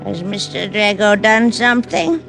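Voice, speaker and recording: An actress playing a polite old street flower seller in an old-time radio drama. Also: female voice, medium pitch, expressive, measured pace, creaky, fairly bright tone, low-quality recording. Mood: curious, wary. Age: older. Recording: vintage radio recording, faint music.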